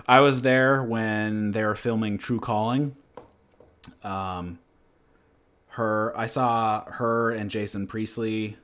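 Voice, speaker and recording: A man's voice: lowish voice, fairly bright tone, smooth. A man from New Hampshire, hosting his channel, chatting casually in a rambling, laid-back way. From New Hampshire, USA. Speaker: channel host. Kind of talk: casual livestream chat and Q&A.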